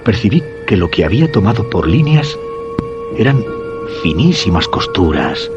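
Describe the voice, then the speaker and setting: A man's voice: fairly low pitch, very animated, moderate pace, dull audio. An actor from a suspense radio drama playing a terrified husband recounting his ordeal, in a Spanish accent from Spain, over music. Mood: tense dread. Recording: music behind the voice.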